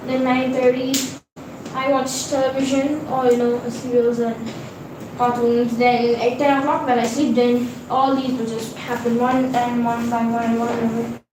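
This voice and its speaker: Indian-accented woman tutor streaming live; high voice, slow, halting delivery. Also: little pitch movement, far from the mic. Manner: slow, halting, with many fillers and restarts. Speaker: livestream host and tutor. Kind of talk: casual livestream, reading chat and teaching math. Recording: very echoey room, voice off-mic, noisy background.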